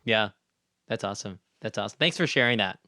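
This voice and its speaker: A man's voice: on the low side, animated, fast talker, bright, forceful tone, no echo. A man hosting a podcast interview in a conversational, supportive and affirming way.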